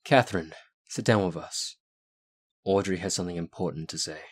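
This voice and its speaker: A man voicing a young werewolf who speaks calmly and firmly. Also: deep voice, moderate pace.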